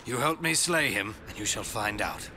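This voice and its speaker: An actor playing a gruff, wry, guarded wandering ranger in a dramatic fantasy game cutscene. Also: male voice, lowish voice, expressive, moderate pace, noisy background. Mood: guarded, grim. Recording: music behind.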